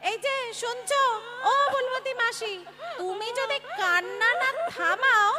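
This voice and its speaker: A woman's voice: very high voice, a little slow. A woman playing a brash young job-seeking maid who is cheeky, bossy and impatient in a dramatised play reading.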